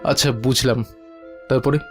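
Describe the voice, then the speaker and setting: A man's voice: mid-range voice, steady tone, moderate pace, over music, lightly acted. A man playing an earnest, inquisitive young villager in a cartoon. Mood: curious, uneasy. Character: curious, uneasy village youth questioning an elder. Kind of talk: cartoon voice acting.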